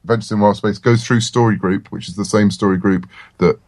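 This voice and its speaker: A man talking casually as a writer guest in a podcast interview, telling stories in a self-deprecating, halting way. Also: fairly low pitch, steady tone, a little mellow, no echo, clean studio sound.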